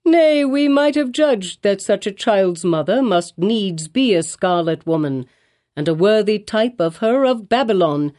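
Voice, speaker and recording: A woman's voice: medium pitch, animated, a little slow, darkish tone, close to an everyday voice. A woman voicing a stern, pompous elderly male colonial governor in archaic speech.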